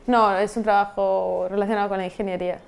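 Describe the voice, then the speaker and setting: A woman, answering interview questions in a relaxed way with frequent restarts, recorded in a studio on a lapel mic. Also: mid-range voice, fast talker, creaky. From Vigo, Galicia, Spain. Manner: relaxed, many restarts and trailing-off endings. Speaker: guest rapper and software engineer. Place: TV studio set.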